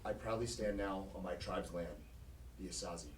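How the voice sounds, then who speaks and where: A man's voice: low voice, steady tone, normal speed, close to an everyday voice. A man playing an earnest, proud young student activist in an audio drama. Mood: impassioned, defiant. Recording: echoey, distant, faint background noise.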